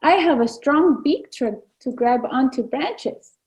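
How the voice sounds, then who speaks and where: A woman's voice: high voice, animated, slow, a little mellow, full character voice, rough recording. A woman voicing a cheerful, confident parrot in a children's storybook, with an emphatic delivery. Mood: proud, upbeat. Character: cheerful, confident flightless kakapo parrot, self-accepting.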